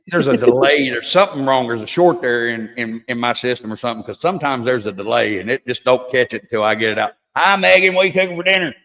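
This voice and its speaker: A man hosting a livestream, telling rambling stories full of crude jokes and curses. His voice is mid-pitched, and it rings out with a long reverb, as if he were in a large hall. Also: fast, hardly any pauses, fairly bright tone. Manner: crude, joking, rambling storyteller. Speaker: livestream host. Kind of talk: casual chat.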